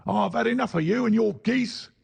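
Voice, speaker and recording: A man voicing an angry market salesman who shouts fiercely at a pestering stranger, in a storybook reading. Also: sits high, normal speed, gravelly, husky, voice pushed up, heavily acted. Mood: angry shouting.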